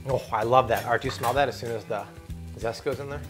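A man in his thirties hosting a cooking video in a home kitchen, energetic and jokey, chatting with his crew as he cooks. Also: medium pitch, fast talker, over music. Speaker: cooking show host. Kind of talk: upbeat cooking demo.

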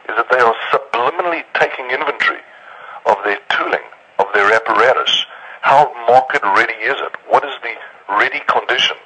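Emphatic, husky man giving a motivational talk. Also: mid-range voice, bright, forceful tone, low-quality recording. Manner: emphatic, preaching, stretches key words. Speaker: business speaker.

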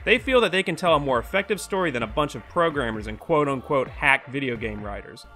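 Male host, twenties, scripted review narration, sardonic and sweary, forceful bright tone, faint music bed. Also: mid-range voice, expressive, a little fast. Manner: wry, sarcastic, profane. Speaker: host of a video game movie review show. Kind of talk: scripted video essay narration.

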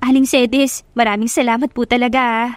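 A cheerful young working woman from the slums as a female narrator puts her on, in a radio drama love story. She talks confidently and casually, with a raised pitch and a dry, close sound. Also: level tone, fairly quick, no room sound, close to an everyday voice. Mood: grateful.

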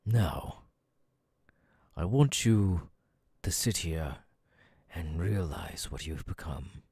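A deep, husky demon lord, acted by a man, speaks slowly and closely in an ASMR roleplay. He is cold, menacing and commanding, often sinking into breathy murmurs as he looks down on his listener. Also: expressive, warm tone, no room sound, studio quality, heavily acted. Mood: menacing, domineering. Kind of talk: ASMR audio roleplay monologue.

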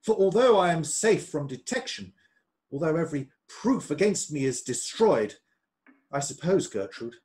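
A man's voice: on the high side, big pitch swings, moderate pace, a little mellow. A man playing a fervent, troubled politician and devoted husband in a period radio play. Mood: reflective. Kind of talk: amateur radio play acting of a period drama.

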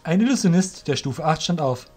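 A man narrating a scripted audio drama as storyteller, in a measured, literary reading manner. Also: fairly high pitch, expressive, darkish tone, breathy edge, faint music behind.

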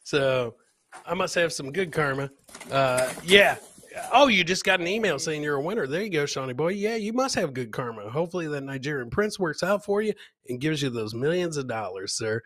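A middle-aged man hosts a casual comedy livestream in a bright, forceful voice that sits a little high. He jokes with viewers as he comments on clips and likes to repeat his phrases. The sound is close and dry, as through a headset. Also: expressive, brisk, no echo. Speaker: comedy show host. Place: indoor home studio, webcam livestream.

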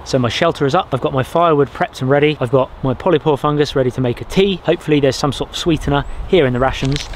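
A man in his thirties, outdoors in a pine forest, explaining how he pitches a shelter and gathers fire materials as he works, often restarting his sentences. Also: fast, hardly any pauses, slight background noise. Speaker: bushcraft vlogger. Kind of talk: casual outdoor how-to narration.

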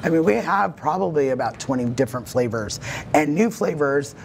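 Gravelly-voiced woman guest on a TV show. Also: low-pitched, lively intonation, moderate pace, darkish tone, slight background noise. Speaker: cafe owner guest. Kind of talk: live studio interview. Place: TV studio set.

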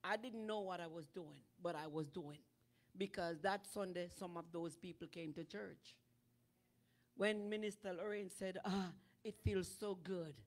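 A middle-aged woman preacher delivering a sermon from a church stage into a handheld microphone, rising from chatty storytelling to shouting. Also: mid-range voice. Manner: animated preaching that builds to shouting. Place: church sanctuary with draped stage.

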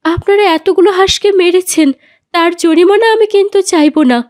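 A woman's voice: extremely high pitch, normal speed, darkish tone. A woman voicing an aggrieved, firm, God-fearing village mother in a moral cartoon. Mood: hurt, reproachful. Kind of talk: children's moral cartoon dialogue.

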